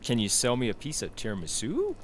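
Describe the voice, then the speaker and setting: A man's voice: mid-range voice, wildly swooping pitch, a little fast, a little hiss or hum. A man playing a squeamish, nervous police officer who stammers and hesitates. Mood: uneasy. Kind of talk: comedy audio drama acting.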